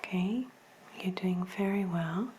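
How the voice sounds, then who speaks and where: A woman speaking in a soft, breathy near-whisper, gently reassuring, as an examiner in an ASMR medical roleplay. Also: lowish voice, measured pace, a little hiss or hum, rough recording. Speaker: ASMR performer playing a hearing examiner.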